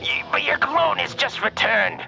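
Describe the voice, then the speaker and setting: A man voicing a frantic robot spider mad scientist in a radio drama, shrill and exclaiming. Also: expressive, measured pace, creaky, over loud music, exaggerated voice. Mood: panicked. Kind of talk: radio drama cartoon acting.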